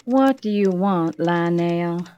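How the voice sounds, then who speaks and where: A woman's voice: lowish voice, slow, dark tone, highs cut off, a little hiss or hum. An actress playing a proud, bitter businesswoman in an audio drama, sardonic and clipped. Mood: icy, sarcastic.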